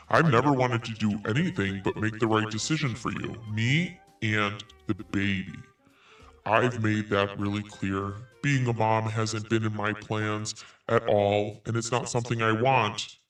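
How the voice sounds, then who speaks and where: A man reading a personal message aloud in an earnest, measured way. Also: medium pitch, a bit bright. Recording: echoey clip from far off.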